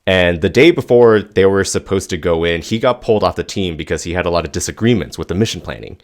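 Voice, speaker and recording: A man's voice: low-pitched, fast talker. A man hosting a casual talk, explaining things in an informal, hesitant way. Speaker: host introducing and explaining clips.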